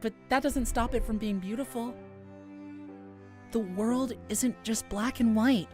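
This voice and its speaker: A shy young man of the forest, played by a woman, from a fantasy visual novel. He speaks gently and bashfully in a fairly high voice, earnest and soft. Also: a little slow, faint music behind, natural voice. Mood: gentle, earnest. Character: shy, gentle young male forest dweller named Fawn.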